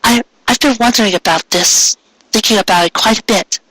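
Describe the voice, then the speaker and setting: A bashful, loving pony wife from a storybook fan fiction, acted out by a man. The voice is pitched well up, bright and breathy. She speaks haltingly, with sweet hesitation. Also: no room sound, low-quality recording. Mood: nervous, hopeful. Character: tender, bashful married female cartoon pony.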